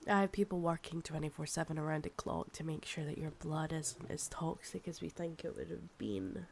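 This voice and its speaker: A woman's voice: low voice, vocal fry, slight background noise. A woman with a Scottish accent playing a possessive, commanding yet doting vampire in an ASMR roleplay, often whispering breathily. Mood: soft, possessive. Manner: slow, hushed, commanding.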